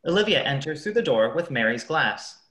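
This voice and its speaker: A woman's voice: deep voice, big pitch swings, fairly quick, a bit bright. A woman narrating a radio play, reading scripted stage directions. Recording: echoey room.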